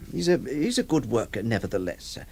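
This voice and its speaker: A stammering, flustered lawyer. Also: male voice, medium pitch, gravelly, slight background noise, heavily acted. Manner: stammering, exasperated, theatrical. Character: flustered, hesitant older male lawyer. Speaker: narrator and lawyer employer.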